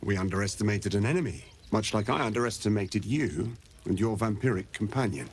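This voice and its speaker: A man playing a grave, weary monster hunter in a video game, measured and guarded. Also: fairly low pitch, expressive, slight background noise. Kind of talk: video game character acting.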